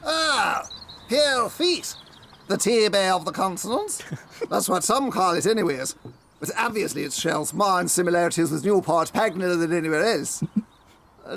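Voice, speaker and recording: An actor playing an eccentric detective inspector in a comic audio drama, pompous and given to sentimental storytelling. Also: male voice, high voice, vocal fry, a bit bright, full character voice. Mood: pompous, teasing.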